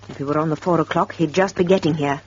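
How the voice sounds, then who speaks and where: A performer playing a terse, brooding farmhouse character in a radio drama, with a controlled manner. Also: male voice, a little high, steady tone, fast, breathy edge. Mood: tense waiting. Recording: muffled old radio recording.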